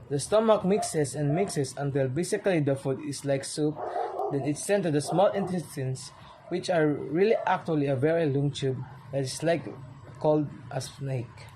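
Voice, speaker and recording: A man's voice: a little high, background noise, natural voice. A man playing an earnest digestive system testifying in amateur reader's theater, explaining formally. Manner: explanatory, formal courtroom address.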